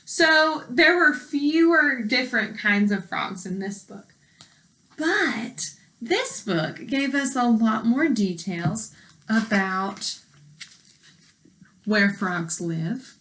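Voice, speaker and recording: Slow, bright-voiced woman reading aloud to children. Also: a little high, poor audio. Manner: deliberate, instructive, asks questions of young listeners. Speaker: teacher reading a book aloud to young children. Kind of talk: read-aloud lesson with commentary and questions.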